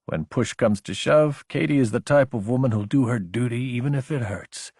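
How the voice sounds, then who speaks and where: Man voicing a stern, husky older woman commander. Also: mid-range voice, darkish tone, no echo. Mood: firm, instructive. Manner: matter-of-fact, dry, deliberate. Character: stern, unflappable older female military commander.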